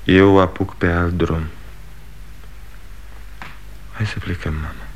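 A bitter, gravelly grown son. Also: male voice, low-pitched, steady tone, muffled recording. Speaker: actor. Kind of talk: 1960s radio drama acting.

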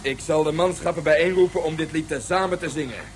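Actor as pompous colonel, higher-pitched voice. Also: male voice, fast, slight background noise, close to an everyday voice. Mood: pompous, pleased. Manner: formal, commanding. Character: brisk, pompous male military colonel. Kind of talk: radio drama acting.

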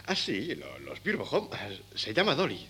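An actor playing a worldly, easygoing young son in a Spanish radio comedy, speaking with a Castilian accent and a wry manner. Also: male voice, on the high side, huge pitch swings, normal speed, vocal fry, sharp tone, heavily acted. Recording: faintly noisy old radio cassette.

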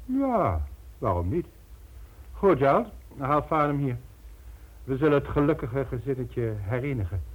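An actor playing a smug, urbane crime boss in a radio play, speaking pompously with mocking politeness and condescension. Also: male voice, mid-range voice, very animated, measured pace, long pauses, a little hiss or hum. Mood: smug, calm. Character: smug, urbane male crime syndicate boss posing as philanthropist. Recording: muffled old radio drama recording.

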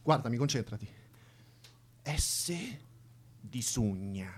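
A man's voice: mid-range voice, lively intonation, takes it slowly. An actor playing a fussy, dramatic young male militant in an Italian radio drama, insistent and repetitive. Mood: bossy, patient.

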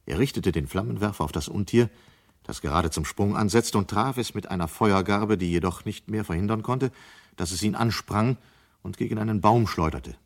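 An actor as a sober storyteller, giving scripted narration in a German radio play. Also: male voice, lowish voice, normal speed, gravelly. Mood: grave, suspenseful. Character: sober male storyteller of a dystopian tale.

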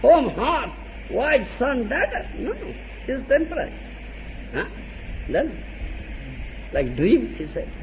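Brief: male spiritual teacher, high lively voice, chatty lecture style, lo-fi archival recording. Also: slow, long pauses. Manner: asks "huh?" often, acts out little dialogues, chuckles. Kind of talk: devotional lecture. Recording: dull lo-fi archival tape with faint hiss.

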